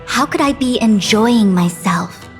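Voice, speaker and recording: Formal, measured dignified woman general. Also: on the high side, expressive, faint music behind. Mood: weary, solemn. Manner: formal, measured, eloquent, authoritative. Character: dignified, burdened young woman diviner acting as general. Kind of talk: formal scripted game dialogue. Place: studio recording with music behind.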